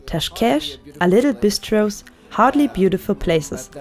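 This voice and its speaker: A woman narrating a documentary in an even, measured, descriptive way. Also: mid-range voice, gravelly, soft background music. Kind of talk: scripted narration.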